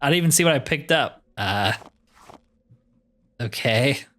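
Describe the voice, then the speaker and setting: A man's voice: medium pitch, expressive, measured pace, a bit bright. A man giving casual gameplay commentary in a laid-back way, musing to himself as he plays. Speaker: gaming streamer.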